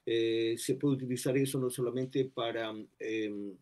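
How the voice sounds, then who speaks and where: A man in his sixties from Ecuador, a retired federal agent speaking as a guest over a video call, measured and full of pauses. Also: medium pitch, steady tone, a little mellow. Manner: measured, many pauses and "eh" fillers. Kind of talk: remote video interview. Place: indoors before a plain backdrop.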